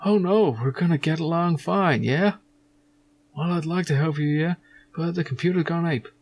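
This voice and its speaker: Man playing a husky, chatty insurance salesman. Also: a little high, moderate pace, voice pushed up. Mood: matey. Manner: matey, tags sentences with questioning "yeah". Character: chatty, eager male insurance salesman named Billy Anker.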